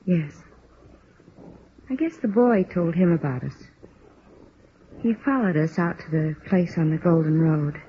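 An actress playing a resigned woman killer confessing in a halting, matter-of-fact way in a 1950s radio crime drama. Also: lowish voice, close to an everyday voice. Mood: flat, resigned. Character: woman murderer flatly confessing, weary, resigned. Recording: muffled, noisy old radio recording.

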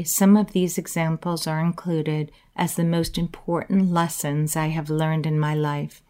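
Slow, earnest woman speaker. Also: lowish voice, a little mellow. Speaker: motivational speaker. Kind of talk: reflective inspirational monologue.

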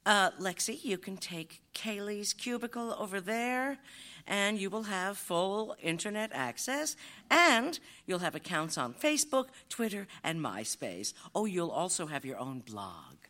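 A woman's voice: mid-range voice, big pitch swings, measured pace, pushed and bright. A woman in a live audio play acting as a motherly, bossy dog who patiently explains how her secret operation runs. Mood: brisk, motherly.

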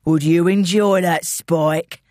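A woman's voice: lowish voice, fairly even intonation, darkish tone, dry recording, studio quality. A woman voicing a menacing male London gang leader in an audiobook, mockingly polite and taunting. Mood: mocking threat. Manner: slow, taunting, mock-friendly.

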